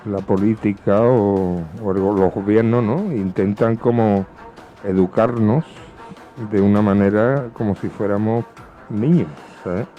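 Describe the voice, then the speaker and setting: A man's voice: on the low side, measured pace, muffled recording. A man giving his view casually as a radio panellist. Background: faint music.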